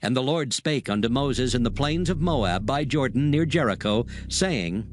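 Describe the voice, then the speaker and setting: A man narrating a dramatized scripture reading in a measured, stately way. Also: medium pitch, lively intonation, background noise. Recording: studio voice over music bed.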